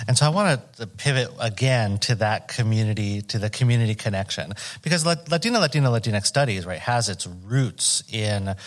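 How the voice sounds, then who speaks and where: A man moderating an academic panel, asking a long question with frequent fillers and hesitations. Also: lowish voice.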